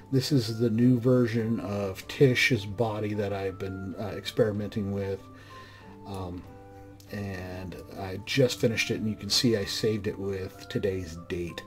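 Hoarse man giving a software walkthrough. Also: on the low side. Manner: loose, conversational, with frequent "uh" and restarts. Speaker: mod review channel host. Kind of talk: screen-recorded software tutorial.

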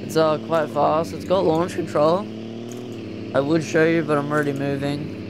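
A man's voice: a little high, little pitch movement, moderate pace, background noise. A man giving casual, off-the-cuff commentary as a gaming video host, in a hesitant, rambling way. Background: car engine sounds from a driving game.

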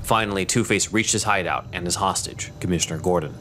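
A man reading scripted narration for a dark superhero audio drama. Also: low-pitched, fairly quick, loud music behind the voice, lightly acted. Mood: narrating.

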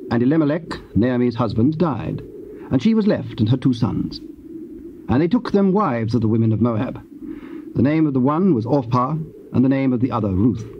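A man narrating scripture in a solemn, measured, formal style as part of an audio drama. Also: mid-range voice, natural voice. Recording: muffled hissy old cassette tape.

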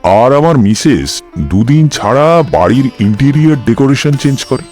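A man's voice: medium pitch, lively intonation, moderate pace, a little mellow, faint music behind, lightly acted. A man voicing a put-upon husband, a neighbourhood promoter, in a cartoon comedy, with exaggerated delivery. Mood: exasperated, explaining. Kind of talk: animated cartoon comedy dialogue.

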